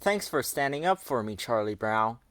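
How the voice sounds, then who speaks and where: A man's voice: mid-range voice, animated, normal speed, a bit bright, dry recording, natural voice. A man voicing a messy, unbothered young boy from a cartoon storybook, plain-spoken and droll. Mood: proud, droll.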